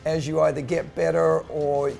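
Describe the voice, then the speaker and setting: Gravelly New Zealand man, relaxed. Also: a little high, soft background music. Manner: relaxed, with frequent "uh" pauses. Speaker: company co-founder. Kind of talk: casual promotional chat.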